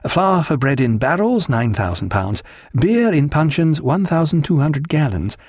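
Guy doing a calm precise lady reading out a list, higher pitch, measured, audiobook. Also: husky, no echo, clean studio sound, heavily acted. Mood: methodical. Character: composed, methodical woman reading out a stores list.

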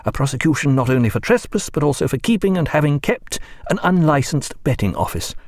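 A man voicing a reserved ship's doctor who speaks in a formal, measured, stern way. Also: fairly high pitch, very animated, darkish tone, no echo, clean studio sound, voice pushed up. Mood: firm.

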